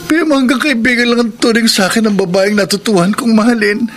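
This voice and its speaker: A man's voice: high voice, fast, a crowd in the background, lightly acted. A man playing a stammering, lovesick young man. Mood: heartbroken. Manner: stammering, earnest. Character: shy young man rejected in love. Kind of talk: radio drama acting. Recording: radio drama with music bed.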